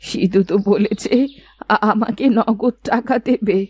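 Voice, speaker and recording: A woman's voice: mid-range voice, a little slow, a little mellow, husky, dry recording. Stubborn old village woman played by an actress, music behind. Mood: bargaining. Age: older. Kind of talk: Bengali cartoon moral-story dialogue.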